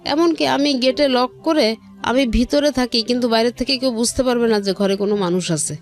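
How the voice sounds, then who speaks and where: A woman's voice: a little high, fairly quick. A middle-aged woman with a Bangladeshi accent tells her personal story in an interview, earnest and repeating her phrases, often acting out quoted speech. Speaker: guest telling her life story. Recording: music under the voice. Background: background music.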